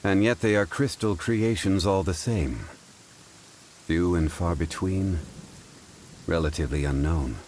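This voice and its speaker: A man voicing a calm, courteous and learned gentleman in an anime-style video game, speaking in a measured, formal way. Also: deep voice, slight background noise. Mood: thoughtful, explanatory. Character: composed, scholarly, ancient-souled gentleman consultant, calm and courteous.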